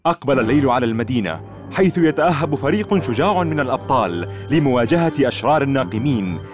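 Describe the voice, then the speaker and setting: A man's voice: mid-range voice, expressive, fast talker. A man giving dramatic narration for a cartoon's opening, in a theatrical, announcing manner. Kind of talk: dramatic show-intro narration. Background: music.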